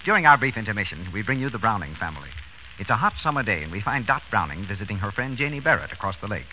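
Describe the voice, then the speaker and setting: An American male announcer delivers scripted copy in a 1940s radio manner. His fairly low voice moves in lively swoops. The recording is dull and old. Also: racing. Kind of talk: 1930s-40s scripted radio announcing and sponsor pitch. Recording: muffled, hissy old radio broadcast.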